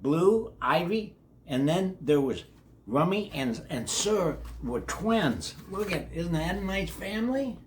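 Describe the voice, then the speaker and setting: Slow-talking older man reading to children. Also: high-pitched, very animated, a little hiss or hum. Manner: folksy, addresses children directly, repeats words. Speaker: children's read-along host. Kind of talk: reading a children's biography aloud with chatty asides.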